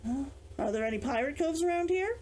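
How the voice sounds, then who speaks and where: A woman's voice: high-pitched, expressive, a little fast, darkish tone, pitched above the performer's own voice. A woman voicing a practical, inquisitive adventurer man in an audiobook, reasoning aloud and questioning. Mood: puzzled. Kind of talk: character dialogue in audiobook reading.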